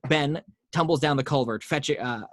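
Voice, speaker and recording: Host narrates action lines; steady, dry, mid voice. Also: male voice, fairly high pitch, moderate pace, no room sound. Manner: steady reading with small slips. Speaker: table read host reading action lines. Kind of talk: script narration. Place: home.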